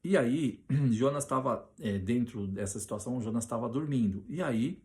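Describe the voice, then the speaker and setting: A Brazilian man preaching on a Bible passage, often restarting his sentences and slowing down to read scripture aloud with emphasis. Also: medium pitch. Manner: hesitant with many restarts, reads scripture slowly and loudly.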